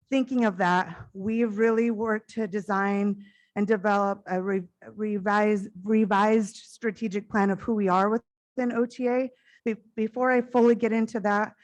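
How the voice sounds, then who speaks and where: A middle-aged woman with a loud voice giving an earnest, heartfelt conference address into a stage microphone, sometimes speeding up in quick bursts. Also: mid-range voice, steady tone.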